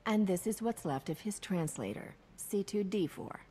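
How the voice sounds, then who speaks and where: A woman's voice: medium pitch, lively intonation, normal speed, warm tone, slightly rough, in a large hall. A woman playing a tough, wry sci-fi hero in a video game, speaking in a cool, dry way. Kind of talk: video game cutscene acting.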